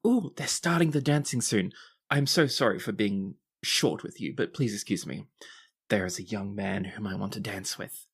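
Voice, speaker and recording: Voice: low voice, normal speed, slightly rough. A performer voicing a gushing, theatrically polite court aristocrat in a tabletop game. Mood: gushing, flirty.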